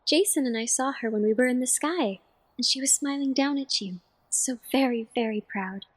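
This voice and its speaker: A woman playing a gentle, dreamy young bridesmaid in an audio drama, airy, earnest and expressive. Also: high-pitched, normal speed. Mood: tender, earnest.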